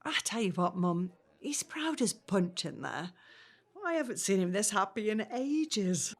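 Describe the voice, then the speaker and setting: An actress playing a reassuring young working-class pregnant woman, gently coaxing, in a 1950s family audio drama. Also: medium pitch, sing-song, a little slow.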